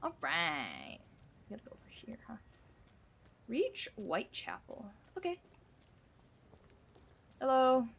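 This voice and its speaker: A woman's voice: fairly high pitch, huge pitch swings, slow pace, vocal fry, pauses often, slightly rough. A woman in her twenties streaming a game, chatting casually, joking and answering her chat. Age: 20s. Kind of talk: casual live gameplay chat.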